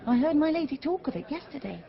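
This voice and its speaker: Lively scolding gentlewoman servant. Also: sits high, fast, gravelly, dull audio, exaggerated voice. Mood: chiding. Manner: chiding, sharp-tongued. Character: brisk, scolding serving gentlewoman. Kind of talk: radio Shakespeare drama acting.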